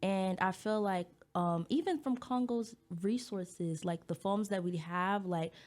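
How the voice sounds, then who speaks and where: A woman's voice: mid-range voice, measured pace, a little mellow. A young woman from Baltimore, raised speaking French, talking earnestly and proudly as a guest in a radio studio interview. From Baltimore, USA. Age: young adult. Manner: earnest, proud, talks in short flowing phrases with "like". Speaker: guest, pageant titleholder. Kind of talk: casual interview.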